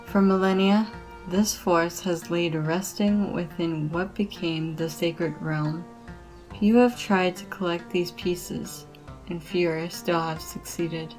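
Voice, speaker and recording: A woman playing a dutiful, grave warrior guide in a fantasy ASMR roleplay, speaking formally and earnestly in measured phrases. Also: fairly low pitch, poor audio. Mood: solemn.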